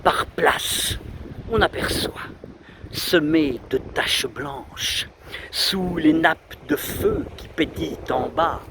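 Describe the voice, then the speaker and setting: Slow, solemn man reciting poetry in wind. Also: lively intonation. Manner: solemn, declamatory, measured phrasing with dramatic pauses. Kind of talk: outdoor recitation of a 19th-century poem. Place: outdoors under trees on a windy seashore. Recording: loud wind buffeting the mic, roaring sea. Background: wind and rumbling sea.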